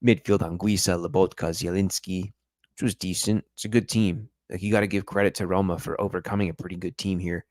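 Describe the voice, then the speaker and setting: Deep-voiced man reviewing a match. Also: fairly even intonation, a little fast, a little mellow, no echo. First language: English. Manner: chatty, analytical, addresses viewers directly. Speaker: football podcast host. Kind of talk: live-stream match review.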